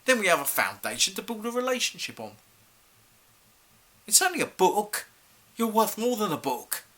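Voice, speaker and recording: A man's voice: high voice, sing-song, moderate pace, sharp tone, full character voice. A male actor playing a stern, exasperated head teacher in a theatrical monologue, accusing a pupil with formal, clipped questions. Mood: pleading, disappointed. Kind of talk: theatrical monologue for an acting showreel.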